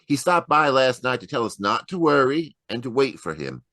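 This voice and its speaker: A man's voice: medium pitch, sing-song, moderate pace, sharp tone, no room sound, studio quality. A man acting in a radio mystery as a mild, apologetic dead husband with a hesitant, folksy manner. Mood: mild, explanatory.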